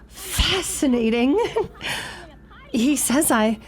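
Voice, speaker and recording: Woman acting gushy dreamy shop girl w a really high voice, old radio play style, giggly but blunt. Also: lively intonation, very slow, full character voice. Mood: smitten, dreamy. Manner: gushing, theatrical, sighs and giggles. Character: lovestruck, vivacious female shop clerk, dreamy yet blunt.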